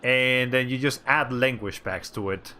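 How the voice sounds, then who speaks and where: Bright-voiced man chatting while playing. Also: mid-range voice, measured pace. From Latin America. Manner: rambling, self-correcting, with wry asides. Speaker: streamer. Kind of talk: casual chat while playing a game.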